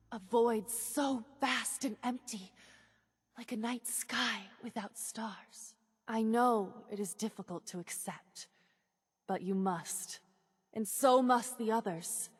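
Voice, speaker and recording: An actress playing a fervent exiled cultist woman in a video game, preaching nothingness with solemn zeal and dramatic pauses. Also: a little high, breathy edge, no echo, clean studio sound. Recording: music behind the voice.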